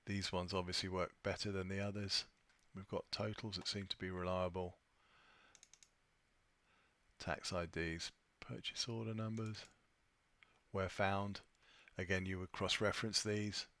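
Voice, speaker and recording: A man presenting an unscripted software tutorial, thinking aloud with a hesitant delivery as he walks through his screen. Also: low-pitched, little pitch movement, unhurried. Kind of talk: unscripted screen-share walkthrough.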